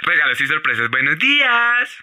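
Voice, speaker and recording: A man's voice: fairly high pitch, very animated, normal speed, very noisy. A man playing a slick, eager salesman in a radio soap. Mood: salesy.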